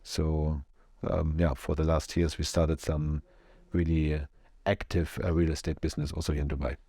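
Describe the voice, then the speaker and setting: A man's voice: a bass voice, flat delivery, moderate pace, mellow, no room sound, studio quality. A man, co-owner of a real estate firm, speaking plainly and hesitantly in a podcast chat.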